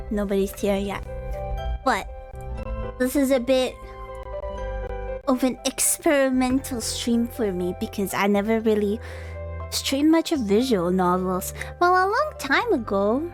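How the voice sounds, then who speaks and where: A young woman with a slightly high voice chats casually on a live game stream. She takes her time, hesitating and rambling half to herself. Music plays along behind her voice. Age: young adult.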